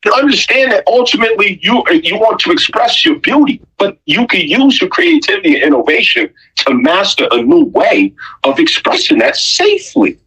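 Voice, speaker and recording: Raspy, bright-voiced man, preaching emphatically. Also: high voice, very animated, normal speed, lo-fi sound. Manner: emphatic, preachy, rhetorical questions and repetition; blunt, profane slang. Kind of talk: opinionated conversational monologue.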